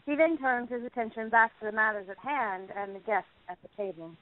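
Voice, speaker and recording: A woman's voice: fairly high pitch, a little fast. Woman narrator on a tinny line. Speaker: narrator reading stage directions. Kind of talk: scripted narration. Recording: tinny narrowband phone-like line.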